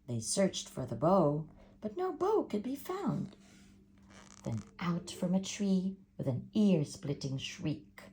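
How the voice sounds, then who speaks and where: Expressive woman reading a rhyming storybook. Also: fairly low pitch, slight background noise. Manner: expressive, rhythmic rhyming delivery. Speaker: parent reading a picture book. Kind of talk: storybook read-aloud.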